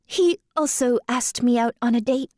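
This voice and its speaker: A woman's voice: a little high, moderate pace, a little mellow, no room sound, clean studio sound, lightly acted. An actress playing a polite, coy young woman dancer with magical powers in an audio drama. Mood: matter-of-fact.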